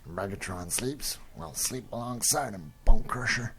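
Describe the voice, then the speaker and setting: A man's voice: on the low side, expressive, a little slow. A man voicing a defiant robot soldier with a blunt, taunting manner, in a comic-book drama. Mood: defiant mockery. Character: gruff, defiant robot soldier, mocking and impatient. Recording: clearly noisy recording.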